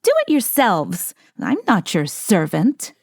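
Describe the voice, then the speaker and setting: A woman's voice: mid-range voice, very animated, slow pace, gravelly, darkish tone, slightly rough, no echo, studio quality, heavily acted. A woman voicing a rude, greedy, spoiled girl in a storybook, haughty and curt. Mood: rude, defiant.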